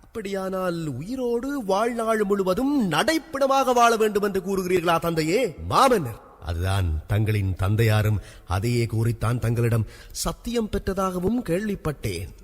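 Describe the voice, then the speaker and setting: A man's voice: medium pitch, big pitch swings, normal speed. Man acting a proud defiant prince, echoing hall. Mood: bitter accusing. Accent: Sri Lankan Tamil. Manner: declamatory, accusing, indignant. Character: proud, hot-headed young prince, bitter and defiant. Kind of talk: theatrical Tamil radio drama acting. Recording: long hall-like reverb.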